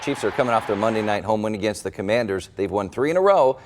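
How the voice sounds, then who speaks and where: Fast-talking American male news anchor. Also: lowish voice, lively intonation, a little hiss or hum. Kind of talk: scripted news reading.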